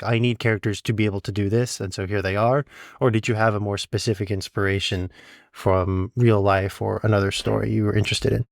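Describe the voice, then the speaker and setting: A man's voice: lowish voice, gravelly, dry recording, clean studio sound. A man asking questions as an interviewer in a conversational style.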